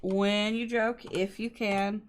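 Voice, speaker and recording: A woman's voice: mid-range voice, little pitch movement, slow, a little hiss or hum. A woman livestreamer chatting casually with her co-host and viewers, explaining things politely. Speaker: game livestreamer. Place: indoor streaming setup at a computer.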